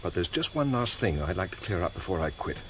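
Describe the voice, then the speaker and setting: Terse police detective, radio play, lowish voice with lots of rise and fall, faint hiss. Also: male voice, big pitch swings, a bit bright, poor audio. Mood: brooding. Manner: deliberate, probing, terse. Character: dogged, plain-speaking senior detective on his last case. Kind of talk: radio drama acting. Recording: hissy vintage radio drama tape.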